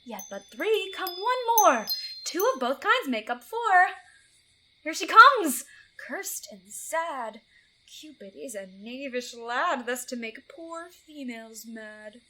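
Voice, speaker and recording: Woman doing a knavish little fairy sprite, high voice, slow teasing rhymes, audio play, some background noise. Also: sing-song, pushed and bright, heavily acted. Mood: gleeful, mocking. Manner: theatrical, teasing, chanting in rhyme. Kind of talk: Shakespearean verse audio drama.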